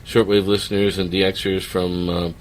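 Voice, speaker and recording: Radio host guy, lowish voice, keeps nudging guests with questions, faint hiss. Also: level tone, moderate pace, low-quality recording. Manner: prompting, asks leading questions. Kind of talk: interview.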